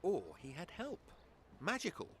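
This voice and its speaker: A sly spy from a fantasy game, measured and guarded. His fairly high voice swings dramatically in pitch as he sidesteps with arch politeness. There is clear ambient noise behind him. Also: very animated, fairly bright tone. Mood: guarded, cool. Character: guarded, sly male spy of an empire's intelligence service.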